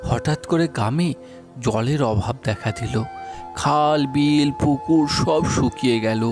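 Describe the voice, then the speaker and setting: A man's voice: on the high side, a little slow, music in the background. A man narrating a cartoon folk tale as a scripted storyteller.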